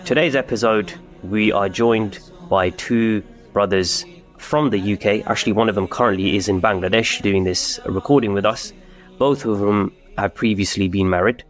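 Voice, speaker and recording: A man hosting a podcast, speaking in a measured, formal way and opening with Arabic greetings. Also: on the low side, soft background music. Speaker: podcast host. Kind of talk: podcast hosting with scripted intro.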